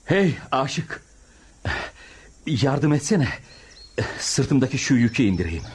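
A man's voice: mid-range voice, sing-song, slow pace, darkish tone, a little hiss or hum. A man playing a humble, devout dervish disciple in Turkish radio theatre, respectful and eager to serve. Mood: friendly. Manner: respectful, deferential.

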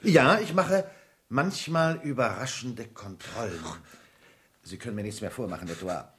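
An actor in a German radio play, playing a stern, principled office colleague who talks in a formal, deliberate, insistent way. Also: male voice, medium pitch, fairly bright tone, natural voice. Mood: measured, accusing.

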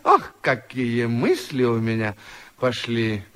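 A man's voice: mid-range voice, huge pitch swings, slow, a bit bright. A man performing in a radio play, boastful and swaggering in a theatrical way.

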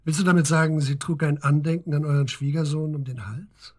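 A calm male police investigator played by an actor in a radio play, middle-aged, with a slightly high, husky voice. He speaks soberly and to the point, probing with short questions. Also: a little fast, natural voice. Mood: probing, surprised. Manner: matter-of-fact, probing, terse questions. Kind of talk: naturalistic German radio drama acting.

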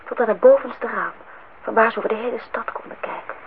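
An actress playing a gentle, dreamy, candid woman in a Dutch radio drama, speaking in a lyrical, earnest way. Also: on the high side, fast talker, close to an everyday voice. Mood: tender, earnest. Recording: tinny, band-limited, noisy old radio recording.

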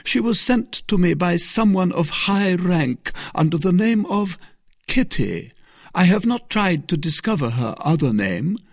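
A man's voice: high voice, sing-song, a little slow, no room sound, clean studio sound, voice pushed up, full character voice. A man doing a kindly, anxious abbess. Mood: earnest. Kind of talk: audiobook character reading.

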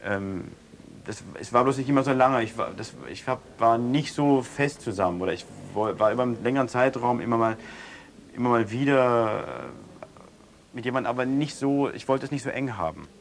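A man's voice: mid-range voice, normal speed, a little hiss or hum, lightly acted. A man playing an uneasy brother under questioning in a naturalistic radio drama, answering evasively in broken, restarted sentences. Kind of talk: improvised naturalistic interview drama.

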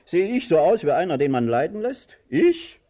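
An actor playing a cruel, pompous master of about sixty in a radio drama, declaiming theatrically and swinging between grandeur and self-pitying whining. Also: male voice, sits high, sing-song, moderate pace, mellow. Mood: flustered. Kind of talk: radio drama stage acting.

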